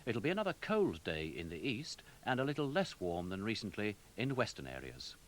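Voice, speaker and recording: A man's voice: fairly low pitch, wildly swooping pitch, fairly quick, slight background noise. A man with a Received Pronunciation British accent delivers polished, scripted television continuity announcements in a formal manner.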